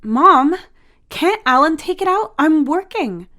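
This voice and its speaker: Casting: bossy teen sister for audio story, high, dramatic, snappy played by a female narrator. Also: big pitch swings, takes it slowly, pushed and bright, full character voice. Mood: whiny. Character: Angela, exasperated bossy teenage sister, hot-tempered and sarcastic.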